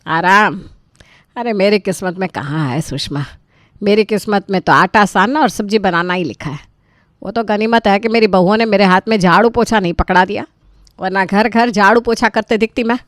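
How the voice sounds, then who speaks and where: A woman's voice: mid-range voice, moderate pace, dry recording, studio quality, natural voice. A woman voicing a weary elderly mother-in-law in a cartoon story, grumbling with dramatic self-pity. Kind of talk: animated moral story dialogue.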